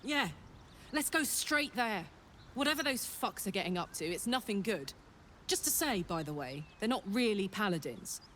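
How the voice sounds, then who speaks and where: A woman's voice: medium pitch, expressive, normal speed, a bit bright, slight background noise, natural voice. A woman playing a brash, hearty tiefling warrior in a video game, with a blunt, sweary, exuberant manner. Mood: scornful. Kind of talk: video game character dialogue.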